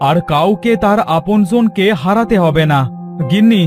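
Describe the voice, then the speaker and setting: Remorseful village chief and father, man performer, cartoon fairy tale; high male voice, pleading yet consoling. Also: normal speed, a little mellow, soft background music, natural voice. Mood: sorrowful, consoling. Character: remorseful, gentle village headman, grieving father. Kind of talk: melodramatic cartoon acting.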